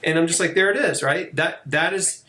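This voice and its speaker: A man's voice: a little high, normal speed. Enthusiastic man hosting an interview. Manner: enthusiastic, animated storytelling, frequent "you know" and "right?". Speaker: host. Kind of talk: casual interview conversation.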